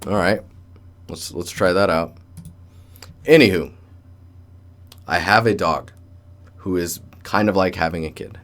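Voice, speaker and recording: Low-voiced young man chatting on stream. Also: a little slow, pauses often, fairly bright tone. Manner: joking, rambling, colloquial. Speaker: livestream host, digital artist. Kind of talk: casual livestream chat and portfolio critique. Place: indoor room with door closed.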